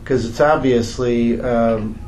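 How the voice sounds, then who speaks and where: A man's voice: medium pitch, steady tone, takes it slowly, a little mellow, a little hiss or hum. A man at a committee meeting telling a story, rambling and searching for words. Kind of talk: casual meeting discussion. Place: meeting room.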